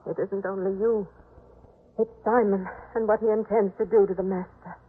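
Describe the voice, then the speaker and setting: Woman acting a husky-voiced, gentle, anxious wife. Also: mid-range voice, fairly even intonation, moderate pace, close to an everyday voice. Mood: troubled. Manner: earnest, pleading, submissive. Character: gentle, anxious, compassionate wife of a stern householder. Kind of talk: 1950s radio drama acting. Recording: muffled phone-like 1950s radio recording.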